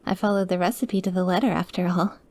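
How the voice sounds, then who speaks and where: A woman playing a sweet, awkward, wistful young woman who is dying and quietly obsessive, in a soft, hesitant, intimate ASMR roleplay. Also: on the low side, steady tone, fast, no echo. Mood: shy, nervous. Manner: soft, hesitant, gushing, at times dropping to breathy murmurs. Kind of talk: ASMR audio roleplay, intimate one-sided dialogue.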